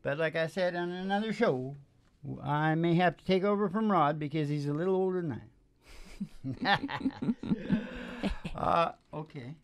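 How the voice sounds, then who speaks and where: An older man, a music podcast host, chatting casually in a folksy, animated way into a stand microphone. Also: fairly high pitch, unhurried, long pauses, clear, resonant tone, no room sound. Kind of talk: casual rambling chat. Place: small dim curtained stage or room. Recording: live performance with acoustic guitar. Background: acoustic guitar.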